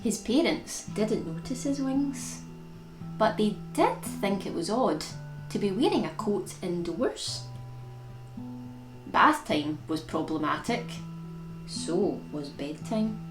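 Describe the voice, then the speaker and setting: A woman's voice: mid-range voice, animated, takes it slowly. A woman reading a picture book aloud for young children as storytime host, expressively and with dramatic emphasis on key words. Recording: roomy echo with plucked guitar music.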